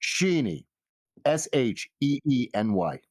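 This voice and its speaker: Man putting on a measured, courteous fixer. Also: medium pitch, big pitch swings, dry recording, clean studio sound. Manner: measured, hesitant, formal phrasing. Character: polite, thick-accented male fixer who spells out names.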